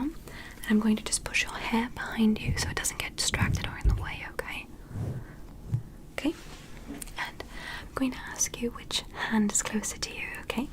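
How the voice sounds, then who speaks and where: A woman's voice: a little high, moderate pace, pauses often, close to an everyday voice. Whispering, politely curious alien examiner played by a woman. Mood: gentle, instructing. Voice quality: breathy, unvoiced whisper. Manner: gentle, clinical, questioning. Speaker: ASMR roleplay performer. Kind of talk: ASMR medical roleplay.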